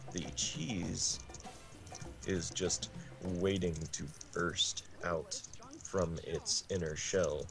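A man reading absurd comic fiction in a deadpan way, drawing out his phrases with long pauses. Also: deep voice. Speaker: guest reader on a game stream. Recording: game music behind the voice. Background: loud video game music.

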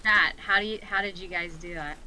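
Bright-voiced woman in casual chat. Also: on the low side, fairly even intonation, fairly quick, rough recording. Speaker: guest script reader. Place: online voice call.

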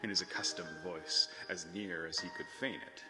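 A man's voice: sits low, steady tone, normal speed. A man narrating a classic tale in a scripted, dramatic storybook style. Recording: long hall reverb, music behind.

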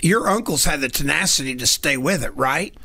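A man's voice: fairly high pitch, lively intonation, a little fast, bright, forceful tone, slightly rough. A man hosting a podcast, chatting in an upbeat, enthusiastic way with his guest. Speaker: host. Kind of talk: casual podcast interview.

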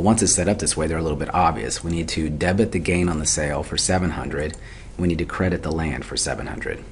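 A man's voice: rumbling low, slight background noise. A man giving an explanatory tutorial lecture as an instructor, methodical and step by step, often posing questions and then answering them. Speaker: accounting instructor.

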